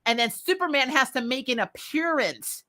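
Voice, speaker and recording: A woman giving casual, opinionated commentary as a show host, chatty and animated, with blunt, slangy phrasing. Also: sits high, normal speed.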